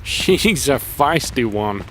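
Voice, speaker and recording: A man acts a wry older male companion in an audio drama, knowing and explanatory. His voice is medium-pitched over faint background noise. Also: sing-song, slow, fairly bright tone. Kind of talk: conversational audio drama acting.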